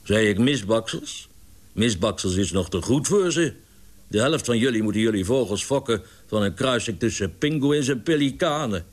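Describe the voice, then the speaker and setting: A male narrator puts on a big, innocent storybook pigeon fancier. His voice lifts well above a narrator's pitch as he exclaims, coaxes and pleads. He is doting and plaintive, quick to stammer with indignation. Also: lively intonation, lightly acted. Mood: blustering. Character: tall boisterous Irish pigeon owner, innocent, plaintive and doting.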